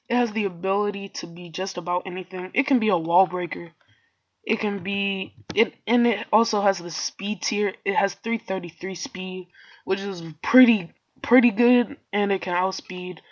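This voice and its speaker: A man's voice: high-pitched, muffled recording. A man with a congested, stuffy voice, talking casually as a YouTuber, rambling in slang with joking asides. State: congested, sick. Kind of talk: casual solo video commentary.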